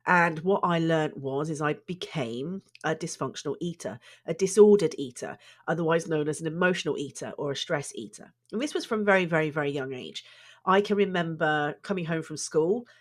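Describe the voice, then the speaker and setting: A woman's voice: low voice, fairly quick. A middle-aged woman with a congested, bunged-up voice, hosting her own podcast in an earnest, chatty way. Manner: warm, earnest, chatty with restarts. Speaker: podcast host, therapist. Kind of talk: solo podcast talk.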